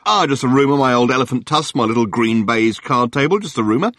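A man voicing a gushing, fast-talking male tabloid journalist who babbles silly nicknames in a breathless torrent. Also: medium pitch, expressive, fairly bright tone, dry recording, studio quality, voice pushed up. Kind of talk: audiobook character voice.

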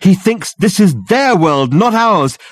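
A man reading a story, voicing an eccentric, excitable detective who declaims emphatically. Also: mid-range voice, fairly quick, husky, dry recording, pitched above the performer's own voice. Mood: excited.

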